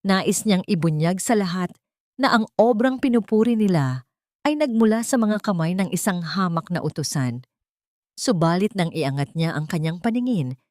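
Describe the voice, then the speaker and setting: A woman's voice: fairly low pitch, dark tone, no echo, studio quality. A woman reading a scripted dramatic story as a storyteller.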